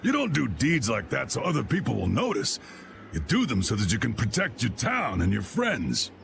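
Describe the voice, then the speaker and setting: Game role: gruff old American chieftain, gravelly, folksy and commanding, very animated pitch. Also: male voice, normal speed, background noise, full character voice. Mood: gruff, stern. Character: blustery, hearty old male guild boss spoiling for a fight. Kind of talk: video game voice acting.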